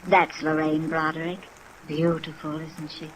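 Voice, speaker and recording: An actress playing a gentle, fond, helpful nun in a 1950s radio drama, speaking in a wistful, hesitant way. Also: female voice, low voice, level tone, measured pace. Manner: wistful, hesitant, exclaiming softly. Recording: noisy vintage radio recording.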